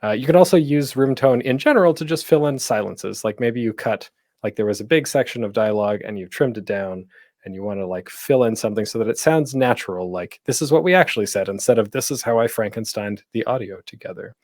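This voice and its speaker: A man's voice: mid-range voice, a little fast, a little mellow, no room sound, studio quality. A man presenting a tutorial in a casual, chatty way with light humor. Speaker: panel host giving a podcasting tutorial.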